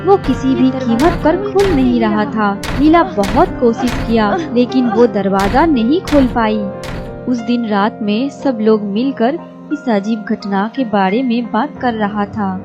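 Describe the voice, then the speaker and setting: A woman giving scripted narration for a children's animated story. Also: fairly high pitch, measured pace, highs cut off. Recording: music behind the narration.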